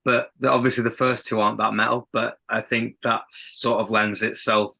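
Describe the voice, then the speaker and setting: Young guy with a faint sheffield accent, lowish bright voice, rambles and laughs a lot. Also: level tone, moderate pace, vocal fry. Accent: Sheffield, softened. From England. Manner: rambling, self-interrupting, laughs often. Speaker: band lead singer, guest. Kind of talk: casual podcast interview.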